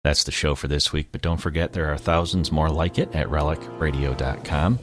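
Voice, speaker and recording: Male podcast host, extremely low voice, scripted outro delivery, music underneath. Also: fairly even intonation, a little fast.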